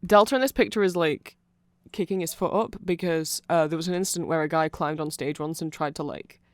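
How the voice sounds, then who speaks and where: An androgynous, medium-pitched voice recorded dry and close, explaining fan lore in a rambling ASMR style. The speaker is amused and enthusiastic, easily flustered, and often doubles back to correct a point or lets a thought trail off. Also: fairly quick, clean tone, no echo.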